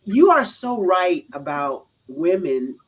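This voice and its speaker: A woman's voice: fairly low pitch, big pitch swings, slow pace. A woman with an American accent hosting a talk show in a casual, affirming way, repeating phrases for emphasis. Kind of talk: casual conversational interview.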